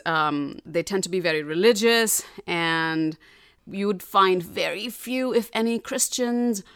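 Relaxed woman. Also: lowish voice, clear, resonant tone, no echo, clean studio sound. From India. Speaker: guest, actress and audiobook narrator. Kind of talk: casual interview chat.

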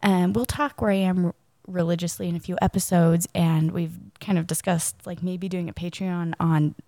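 A woman's voice: lowish voice, fairly even intonation, moderate pace, dark tone, dry recording. A woman in her thirties co-hosting a casual podcast, still scratchy from a cold, chatty and laughing easily between her ums. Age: 30s. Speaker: podcast co-host.

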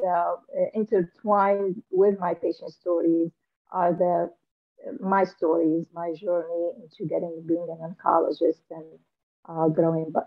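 A middle-aged woman from Syria, a guest author, talking thoughtfully in a casual interview with frequent pauses and fillers. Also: fairly low pitch. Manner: soft-spoken and thoughtful, with many fillers and restarts. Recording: lo-fi remote interview audio.

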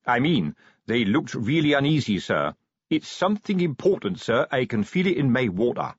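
A man voicing a slow, earnest, deferential police sergeant in an audiobook, with folksy, ungrammatical speech. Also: mid-range voice, very animated, slightly rough, no room sound, dull audio, voice pushed up. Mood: earnest, uneasy. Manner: folksy, ungrammatical, plodding deference with frequent "sir". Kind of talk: audiobook character acting.

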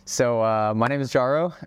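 A young man raised in Hawaii, a guest on a casual podcast interview, talking in a relaxed way with plenty of fillers and restarts. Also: medium pitch, measured pace. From Czech Republic, raised in Hawaii. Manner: relaxed, many fillers and restarts, laughs often. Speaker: guest, travel creator.

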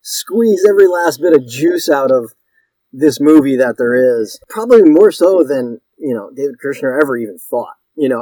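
A man's voice: mid-range voice, dark tone, husky, dry recording, low-quality recording. A man in his fifties from Connecticut, a guest actor telling stories in a casual interview, rambling and self-deprecating with many restarts.

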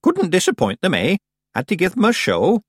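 A man voicing a proud, bossy, mischievous very old woman in an audiobook story, with a high, shrill, imperious delivery. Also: wildly swooping pitch, normal speed, slightly rough, no room sound, studio quality, exaggerated voice. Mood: gleeful, proud. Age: over 100. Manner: imperious, theatrical scolding.

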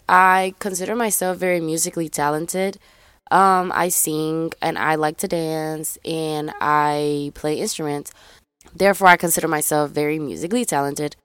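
A woman's voice: low-pitched, clear, resonant tone, dry recording, clean studio sound. A young woman from Memphis with a faded Southern accent, chatting casually and rambling as she introduces her solo podcast. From Memphis, Tennessee, USA. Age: young adult. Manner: chatty, rambling, addresses listeners as y'all. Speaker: podcast host.

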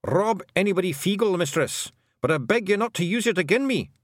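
A jittery little clan chief, voiced by a man, with a broad Scottish burr, pitched high and straining, every line rising in earnest worry. His voice leaps up and down restlessly, and the recording is dry and close. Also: lively intonation, brisk, no echo. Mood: nervous. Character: small fretful male Feagle clan chief. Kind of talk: audiobook character voice.

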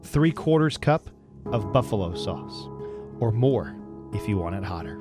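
A man on a morning radio show giving a deliberate mock-dramatic recipe reading with dry asides. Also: on the low side, sing-song. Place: radio studio. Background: music under the voice.

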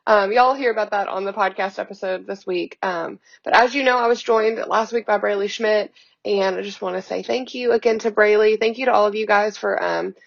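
A young woman hosting a casual podcast, her voice a little hoarse, talking in an upbeat, chatty way with many fillers, recorded from a hotel room. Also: medium pitch, fairly even intonation, a little fast, highs cut off. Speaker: podcast host. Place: hotel room, sitting on a bed.

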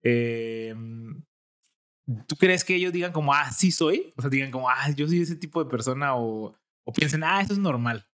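A man's voice: medium pitch, lively intonation, moderate pace, a bit bright. A young adult man with a Jalisco accent, chatting casually as podcast co-host and thinking aloud with many fillers. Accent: Mexican, from Jalisco. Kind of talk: casual chat.

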